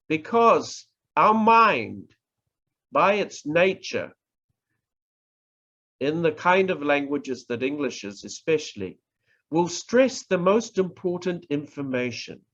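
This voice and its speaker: A man with a New Zealand accent giving a public speaking workshop, talking in a measured, deliberate way and stressing words to demonstrate. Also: fairly high pitch. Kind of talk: slow instructional presentation. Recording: dull lo-fi recording.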